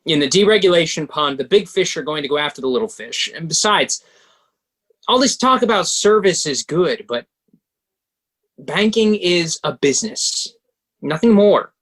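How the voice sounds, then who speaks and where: A man playing a pragmatic, smooth-talking banker who coolly advises and persuades, in a table read of a stage musical. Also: fairly high pitch, animated, normal speed, a bit bright. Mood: persuasive, pressing.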